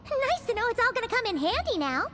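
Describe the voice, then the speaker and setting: A woman voicing a tiny, excitable floating girl companion in a video game who talks about herself in the third person. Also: very high-pitched, quick pace, heavy background noise. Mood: cheeky. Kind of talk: game voice acting.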